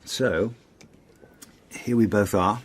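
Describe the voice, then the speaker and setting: An actor with a British accent playing a reflective, guarded intelligence officer in a radio drama, recounting his past in measured, understated, literate phrases. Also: on the low side, breathy edge, heavily acted. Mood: guarded, intimate. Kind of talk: radio drama narration and dialogue.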